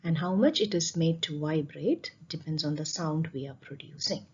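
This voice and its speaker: Woman physics teacher, lowish pitch, explanatory with rhetorical questions and repetition, slightly muffled lo-fi sound. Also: moderate pace, a little mellow, a little hiss or hum. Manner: explanatory, repeats key points, asks rhetorical questions. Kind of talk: spoken classroom lesson over slides.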